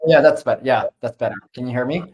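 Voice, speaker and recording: A man from Canada talking casually and haltingly as an interview guest, through a laptop on a video call. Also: mid-range voice, a little mellow, slightly rough, lo-fi sound. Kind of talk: casual self-introduction and chat.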